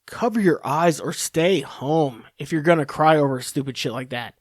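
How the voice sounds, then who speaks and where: A man's voice: fairly high pitch, moderate pace, no echo, studio quality. A man hosting a podcast, ranting in a profane, rambling, sarcastic monologue. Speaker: podcast host.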